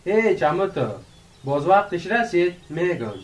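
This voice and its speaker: A man's voice: high voice, big pitch swings, far from the mic, a little hiss or hum. An actor playing a curious, impatient young village man who prods his friend conversationally in a radio drama. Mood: friendly. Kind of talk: radio drama acting in dialogue.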